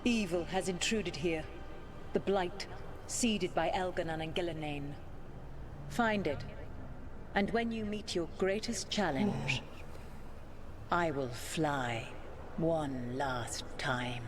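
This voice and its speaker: Low, regal ancient goddess. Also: female voice, background noise. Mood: solemn. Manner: formal, stately, measured diction. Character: ancient elven goddess, regal, imperious, testing judge of worth. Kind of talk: dramatic fantasy video game acting.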